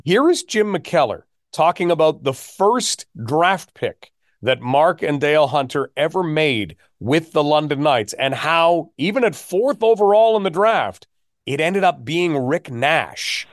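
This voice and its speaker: Higher-pitched Canadian man hosting sports podcast. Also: expressive, unhurried, no room sound, studio quality.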